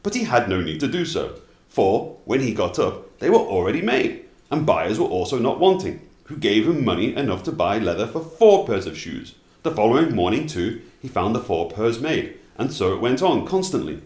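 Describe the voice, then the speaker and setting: A man narrating fairy tales as an expressive storyteller, at times dropping into casual asides. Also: lowish voice, roomy sound.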